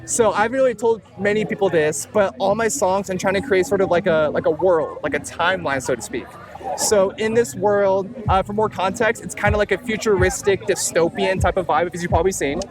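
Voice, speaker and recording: A man's voice: sits high, brisk, crowd noise. A young man whose first language is Tagalog, a music producer, talking casually and rambling with many fillers in an interview outdoors at the beach. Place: outdoors at a beach, traffic nearby. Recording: music playing under the voice.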